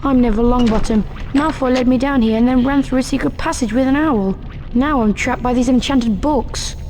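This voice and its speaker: A timid, hapless schoolboy in a video game. The voice is high, earnest and a little hesitant, with faint noise behind it. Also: male voice, fairly quick, a little mellow. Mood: anxious, earnest. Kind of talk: video game cutscene acting.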